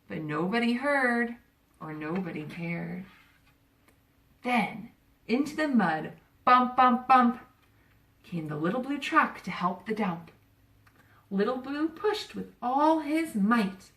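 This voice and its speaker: A woman reads a rhyming picture book to children with a lively, expressive delivery. Her voice is bright and unhurried, recorded a little away from the mic in an echoey room. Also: a little high. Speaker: volunteer story reader. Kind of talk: children's storybook read-aloud. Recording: roomy echo, distant microphone.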